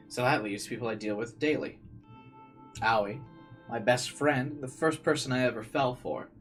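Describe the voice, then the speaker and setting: A man's voice: lowish voice, fairly bright tone. A man playing a visual novel, reading its narration aloud dramatically and adding casual commentary. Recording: game music behind the voice.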